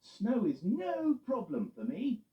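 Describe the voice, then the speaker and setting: A man voicing a steady, polite male delivery truck who is a little worried about the snow. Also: sits high, slow pace, echoey room, pitched above the performer's own voice, heavily acted. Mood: earnest. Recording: muffled, with music behind.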